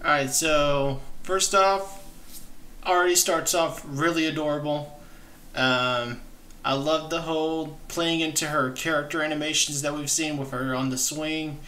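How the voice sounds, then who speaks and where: Bright-voiced man, higher-pitched. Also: fairly even intonation. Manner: casual, halting, enthusiastic. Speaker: gaming channel host. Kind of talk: reaction commentary. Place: small indoor streaming room. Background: trailer music at times.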